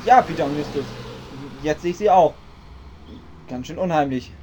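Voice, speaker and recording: A man's voice: fairly high pitch, animated, moderate pace, warm tone, muffled recording, a little hiss or hum, lightly acted. A man playing an earnest, dim-witted boy detective in a parody radio play, stilted and over-explaining. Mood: wide-eyed, spooked.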